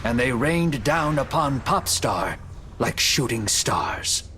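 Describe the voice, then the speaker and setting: A man narrating a video game story cutscene in a measured, dramatic storytelling manner. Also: mid-range voice, animated, pushed and bright, slight background noise. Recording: music under the voice. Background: music.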